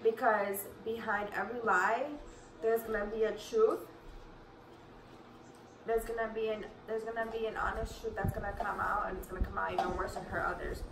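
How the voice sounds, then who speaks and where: A woman's voice: mid-range voice, level tone, normal speed, vocal fry. A young woman from New York with a New York accent touched by Colombian Spanish, chatting loosely with fillers and restarts as a family vlog mother. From New York, USA. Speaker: vlogging mother. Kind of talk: casual family Q&A. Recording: roomy, distant, noisy home recording.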